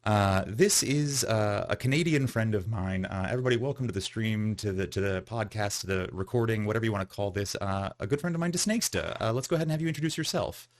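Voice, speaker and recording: A man hosting a podcast, talking in a relaxed, friendly way with many fillers. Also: fairly low pitch, fast talker, few pauses. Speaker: podcast host. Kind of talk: casual interview chat.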